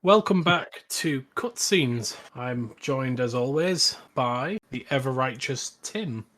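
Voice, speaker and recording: A man's voice: medium pitch, animated, takes it slowly, a bit bright. A British man hosting a podcast, chatting casually about films with friends. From Britain. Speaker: podcast host. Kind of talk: casual film discussion chat.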